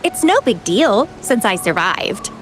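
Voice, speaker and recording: A woman's voice: medium pitch, big pitch swings, unhurried, noisy background. An actress playing a playful, carefree, enigmatic young woman in a video game, with a teasing, languid, theatrical delivery. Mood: nonchalant.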